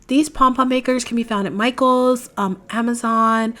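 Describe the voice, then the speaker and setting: Slightly hoarse young woman crafting host. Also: on the high side, moderate pace. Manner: chatty, relaxed, explains steps plainly. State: slightly hoarse, recovering from a cold. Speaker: DIY craft channel host. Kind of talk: casual step-by-step craft tutorial voice-over. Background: faint background music.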